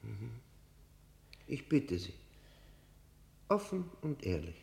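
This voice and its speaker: A man acting an embittered, haunted prisoner in a German radio play, speaking in a brooding, literary, halting manner with heavy pauses. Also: mid-range voice, huge pitch swings, gravelly, a little mellow, full character voice. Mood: tense, probing. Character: embittered long-term prisoner, haunted and disgusted, confiding.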